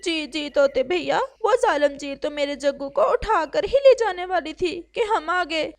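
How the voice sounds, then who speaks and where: A high-voiced female crow from a cartoon fable played by a woman. She is a fierce mother, dramatic and highly emotional in how she speaks. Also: animated, a little slow. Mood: distraught. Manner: dramatic, pleading.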